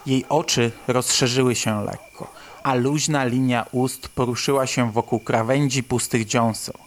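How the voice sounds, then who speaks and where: A man's voice: medium pitch, fairly even intonation, unhurried, slight background noise. A man reading scripted literary narration for a radio drama.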